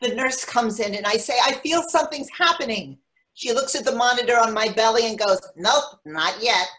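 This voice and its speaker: A woman's voice: on the high side, normal speed, close to an everyday voice, poor audio. A woman playing a wry, exasperated mother in labor, telling her story in a staged monologue reading. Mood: wry exasperation. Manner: animated storytelling, quoting others. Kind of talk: dramatic monologue reading for readers theatre. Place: remote reading over video call. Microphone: video call.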